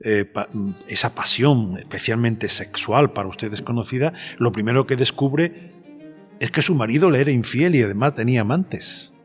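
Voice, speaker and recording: A man's voice: fairly low pitch, lightly acted. A man playing a polite but provocative journalist in a dramatised historical interview, hesitant as he probes. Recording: long hall reverb.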